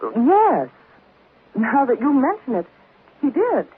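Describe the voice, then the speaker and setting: A woman's voice: sits high, huge pitch swings, slow, breathy edge, exaggerated voice. An actress playing a scheming, cold-blooded woman in 1940s radio drama, on a muffled old recording. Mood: feigned reluctance.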